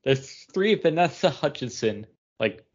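A man's voice: a little high, animated, a little slow. Muffled man chatting on a podcast. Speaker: podcast co-host. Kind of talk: casual chat during a pub trivia game. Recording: dull, band-limited lo-fi audio.

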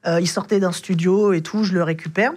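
A man's voice: high-pitched, steady tone, fairly quick. A man, a rideshare driver, telling stories from his rides in a rambling, casual way, full of slang and fillers. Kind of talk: casual storytelling.

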